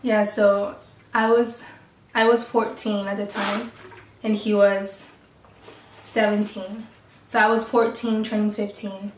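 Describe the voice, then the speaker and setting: A young woman from Mexico chats slowly and easily in a casual Q&A, her voice affected by a cold. She keeps giggling and talking in a relaxed way. She sits some distance from the mic in a room that echoes noticeably. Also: mid-range voice, slight background noise. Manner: chatty, unhurried, giggly. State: sick, voice affected. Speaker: vlog co-host. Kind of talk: casual Q&A chat.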